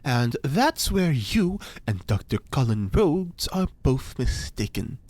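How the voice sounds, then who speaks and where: A man's voice: medium pitch, big pitch swings, takes it slowly, a little mellow, dry recording. A man playing a pompous, theatrical occult scientist. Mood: lecturing. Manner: grandiose, theatrical, lecturing. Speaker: reporter's informant. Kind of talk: audio drama acting.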